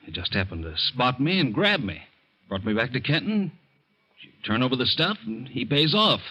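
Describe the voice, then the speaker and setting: A smooth ex-con thief. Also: male voice, medium pitch, sing-song, normal speed, bright, forceful tone, full character voice. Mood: persuasive. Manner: smooth, persuasive and cool. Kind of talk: 1940s radio acting. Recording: muffled 1940s radio broadcast.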